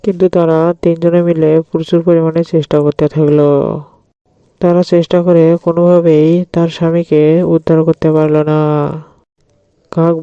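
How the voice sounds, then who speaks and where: Flat, muted storybook narrator. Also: mid-range voice, normal speed, clear, resonant tone, no room sound, highs cut off. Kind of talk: scripted storybook narration for a cartoon.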